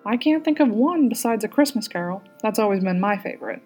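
A woman voicing a haughty, sharp-tongued, sarcastic Englishwoman in audiobook dialogue. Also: medium pitch, animated, a little fast, husky, faint music behind. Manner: derisive, snorting, cutting.

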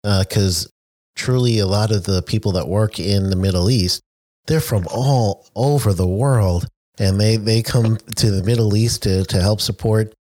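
Chatty man with a low voice, dry sound. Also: measured pace, darkish tone, no room sound. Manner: friendly, chatty, laughs easily. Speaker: interviewer, safety trainer. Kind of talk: conversational interview.

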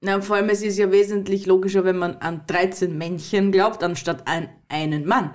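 A woman's voice: medium pitch, steady tone, normal speed. A woman co-hosting a podcast, reading out fun facts with plenty of giggling. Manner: giggly, addresses listeners warmly. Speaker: podcast co-host. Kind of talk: casual fun-facts chat.

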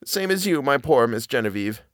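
Brief: male reader doing a jittery middle-aged housekeeper, high and halting, dry close sound. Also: sing-song, fairly bright tone, no echo. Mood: fearful. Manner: halting, stammering, plain servant speech. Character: frightened old nanny, a middle-aged housekeeper woman.

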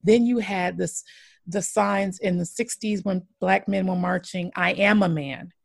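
A woman's voice: on the low side, fairly even intonation, a little slow, vocal fry, husky, no room sound, clean studio sound. A woman in her forties hosting a podcast, speaking earnestly and with emphatic, impassioned repetition. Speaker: podcast host.

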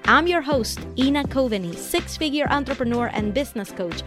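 A woman's voice: high voice, lively intonation, fairly quick, music in the background. A woman hosting a business podcast, reading an upbeat scripted intro and then interviewing a guest with enthusiasm. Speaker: podcast host and business coach.

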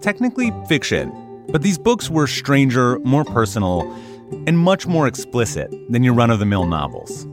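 A man hosting and narrating a podcast, reading a script with playful, expressive emphasis. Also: medium pitch, moderate pace, music in the background.

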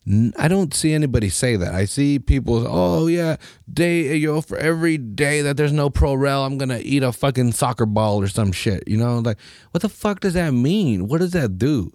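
A man from Mexico, hosting a podcast in a profane, animated rant full of mocking voices. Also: medium pitch, no room sound, clean studio sound. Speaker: podcast host. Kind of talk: casual opinionated rant.

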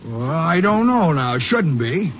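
An actor playing an easygoing, slightly slow freight brakeman with folksy, colloquial talk in a 1950s western radio drama. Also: on the high side, sing-song. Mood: easygoing, skeptical. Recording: muffled, noisy old radio recording.

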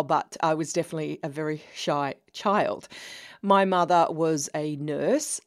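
Australian woman in her fifties, lowish. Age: 50s. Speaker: podcast host. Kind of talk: casual solo podcast monologue.

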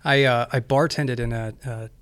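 A man, hosting a casual talk, reflective and questioning, his voice hoarse from scarred vocal cords. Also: medium pitch, steady tone, moderate pace, fairly bright tone, no echo. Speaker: podcast host and physician assistant. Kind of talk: casual podcast conversation.

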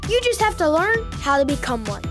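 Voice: very high voice, very animated, brisk. A child podcast host reading a scripted promo. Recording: prominent music bed. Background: music.

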